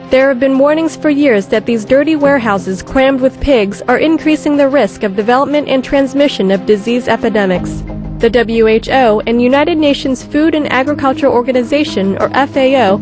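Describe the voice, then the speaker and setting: A woman reads news narration in a crisp, formal manner, her voice sitting high. Music plays clearly behind her.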